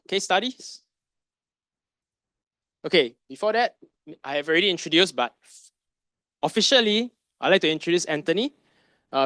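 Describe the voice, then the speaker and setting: A man's voice: on the high side, fairly bright tone, dry recording, studio quality. A man presenting a masterclass in a conversational way, often tagging "right?" and asking rhetorical questions. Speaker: masterclass presenter, finance advisor. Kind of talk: conference lecture.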